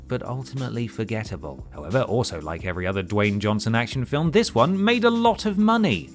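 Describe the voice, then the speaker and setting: A man hosting a countdown video, reading scripted narration with wry, sarcastic asides and emphatic delivery. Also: on the low side.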